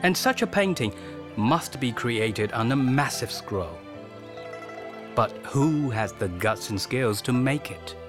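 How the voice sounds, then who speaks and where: Radio drama casting: gracious ambitious monarch, measured and slow, over a musical bed. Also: male voice, mid-range voice, expressive. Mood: regal, thoughtful. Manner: regal, measured. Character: stately, gracious Chinese emperor with grand ambitions. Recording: music behind the voice.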